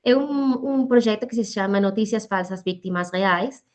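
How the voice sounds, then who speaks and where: A woman with a Spanish accent, Spanish as her first language, presenting a workshop talk as a guest media educator, pausing often with fillers as she searches for words in Portuguese. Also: medium pitch.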